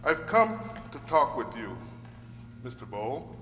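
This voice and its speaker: Crisp, forceful lawyer. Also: male voice, medium pitch, sing-song, a little slow. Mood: grave, probing. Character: firm, measured, probing defense lawyer. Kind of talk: 1940s radio drama acting. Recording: reverberant old radio recording.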